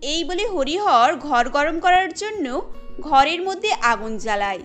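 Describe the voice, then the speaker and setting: A woman narrating a cartoon story in a steady storytelling manner. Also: sits high, unhurried, soft background music.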